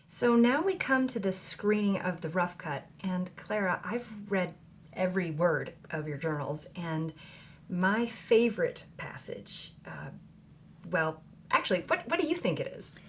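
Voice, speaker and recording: A curious interviewer in an audio drama. She speaks in a slightly low voice, politely and with some hesitation, over faint background noise. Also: lively intonation, a little slow. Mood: curious, hesitant. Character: woman interviewer, hesitant, curious admirer of a diarist.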